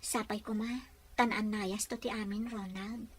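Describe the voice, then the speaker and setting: A woman's voice: mid-range voice, steady tone, unhurried, husky. A woman with a Filipino accent acting a sincere, devoted young bride-to-be in an amateur audio drama. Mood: hopeful. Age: young adult.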